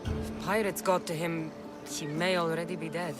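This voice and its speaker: A woman's voice: on the low side, steady tone, normal speed, over music, close to an everyday voice. An actress playing a bold, blunt female mercenary in a video game, with a direct, dry manner. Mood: cool, businesslike.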